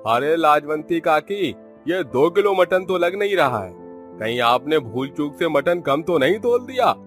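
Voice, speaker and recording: A man's voice: a little high, animated, a little slow, voice pushed up. A man voicing an ordinary village man in a cartoon story, plaintive and exclamatory. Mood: doubtful. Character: ordinary village man, a complaining male customer. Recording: music behind the voice.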